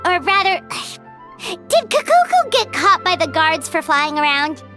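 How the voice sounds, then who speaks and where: A tiny, very high-pitched fairy companion for a video game. The delivery is bright and swooping, childlike, excitable and prone to fretting. Music plays behind the voice. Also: female voice, a little slow, exaggerated voice. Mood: worried. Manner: exclamatory, fretful, expressive. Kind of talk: animated game voice acting.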